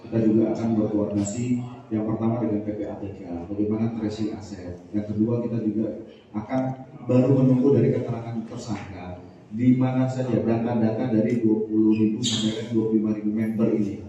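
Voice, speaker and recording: A man's voice: steady tone, fairly quick, dark tone. A man, a police official answering formally at a press conference with some English loanwords. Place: large room at a press conference. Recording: boomy echoing hall, distant muffled mic, faint noise.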